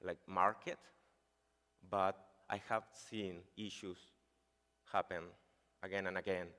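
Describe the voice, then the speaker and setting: Deep-voiced Chilean man giving a conference talk. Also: flat delivery, slow pace, sharp tone. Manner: hesitant, many fillers like "like" and "uh". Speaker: conference speaker. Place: large conference hall. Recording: reverberant hall sound.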